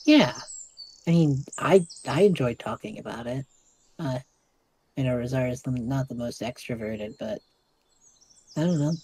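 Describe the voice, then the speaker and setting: A woman voicing a young male magic student in an audio drama, earnest, awkward and self-deprecating, speaking haltingly. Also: a bass voice, dark tone, breathy edge, full character voice. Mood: earnest, reflective. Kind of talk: audio drama dialogue, naturalistic.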